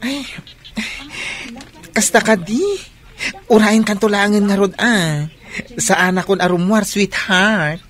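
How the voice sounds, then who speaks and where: A woman's voice: medium pitch, fairly even intonation, slow pace, lightly acted. A woman playing an effeminate, lovestruck and defiant son in an Ilocano radio drama, with a whiny, emotional delivery. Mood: sweet.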